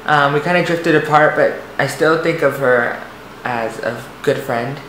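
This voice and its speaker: Soft-voiced young man telling his story. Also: a little high, measured pace. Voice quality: light, soft voice. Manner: hesitant, rambling, many restarts and fillers. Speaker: vlogger. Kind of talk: personal storytelling to camera. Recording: echoey room, faint noise.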